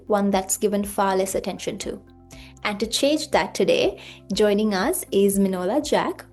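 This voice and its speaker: A woman's voice: medium pitch, a little mellow. A woman hosting a podcast, reading a formal introduction and then asking interview questions in a polite way, with the odd filler word. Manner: reads from a script, polite and formal, with some filler ums. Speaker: podcast host.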